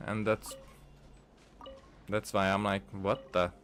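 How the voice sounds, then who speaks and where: A man with a fairly low voice talks through a video game at a slow pace. His commentary is casual and halting, wandering as he thinks aloud. Game music plays behind him. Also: level tone, fairly bright tone. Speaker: gaming streamer.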